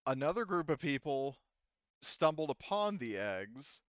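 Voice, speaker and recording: A man with a smooth, resonant voice rambles through lore as game master, full of "like" and "um." His voice comes through dry and a little dull, as on an online call. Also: fairly high pitch, sing-song, unhurried, no room sound. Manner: rambling, filler-heavy explaining. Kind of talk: casual out-of-character explanation.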